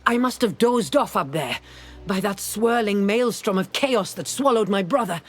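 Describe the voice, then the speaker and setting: An actress playing a dazed, absent-minded mystical woman in a fantasy video game, speaking in a halting, musing way. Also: mid-range voice, expressive, faint music behind, natural voice. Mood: dazed, murky.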